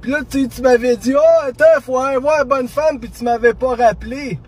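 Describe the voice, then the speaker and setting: A pushy, childlike prank caller with a Quebec French accent. The voice is extremely high-pitched and raspy. He whines and rambles through his phone call with dim, familiar persistence. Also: moderate pace, a little mellow. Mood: hesitant, rambling. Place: inside a parked car.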